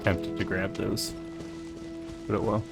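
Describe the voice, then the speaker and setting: Very low-voiced man, low-key gaming commentary. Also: level tone, slow, creaky. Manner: low-key, rambling thinking aloud. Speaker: game streamer. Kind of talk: casual playthrough commentary. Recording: game music behind the voice. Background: game music.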